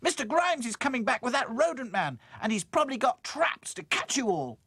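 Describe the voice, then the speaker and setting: A man voicing a bossy, energetic female rag doll in a cartoon, scolding loudly in falsetto. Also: fairly quick, exaggerated voice.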